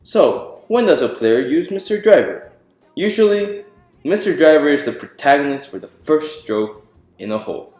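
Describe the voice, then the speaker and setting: A man reading a picture book aloud to an audience in a clear explanatory, presentational way, putting questions to the listeners. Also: a little high, lively intonation, moderate pace, a little mellow, reverberant, soft background music. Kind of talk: storytime read-aloud to an audience.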